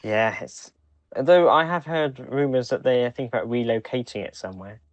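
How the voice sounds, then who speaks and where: A man's voice: mid-range voice, expressive, fairly quick, dry recording. A man co-hosting a podcast, talking casually as he shares news and thoughts. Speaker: podcast co-host. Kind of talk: casual chat, reading out release news.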